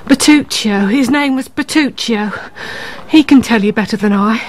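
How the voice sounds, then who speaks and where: An actress playing an anxious, deferential older widow, once a housekeeper, in a radio drama. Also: female voice, on the high side, normal speed, lightly acted. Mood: nervous, evasive.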